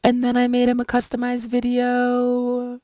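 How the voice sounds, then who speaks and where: A woman shares a casual story in a fairly high voice. She takes her time and sounds amused with herself, in a dry, close recording. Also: monotone, measured pace, warm tone, no room sound.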